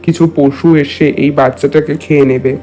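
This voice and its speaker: A man playing a scheming, smooth-talking and grimly resolved village father in a cartoon. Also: medium pitch, little pitch movement, normal speed, mellow, soft background music, natural voice. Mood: grim, remorseful. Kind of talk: cartoon character acting in a Bengali moral tale.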